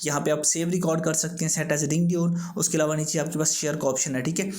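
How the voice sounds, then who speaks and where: A man's voice: fairly high pitch, level tone, a little fast, darkish tone. A man hosting a tech tips tutorial, giving step-by-step instructions. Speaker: host of a tech tips channel. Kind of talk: scripted-sounding tutorial narration for a video.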